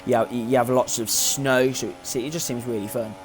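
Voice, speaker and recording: A man's voice: mid-range voice, fairly even intonation, moderate pace, soft background music, low-quality recording. A man commentating on an online racing league, chatting casually with many fillers and self-corrections. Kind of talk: live race commentary chat.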